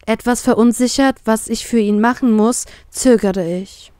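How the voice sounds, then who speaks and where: A woman's voice: fairly high pitch, moderate pace, dark tone, no room sound, studio quality. A woman narrating a scripted first-person story as an audiobook. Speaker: narrator of a fan fiction story.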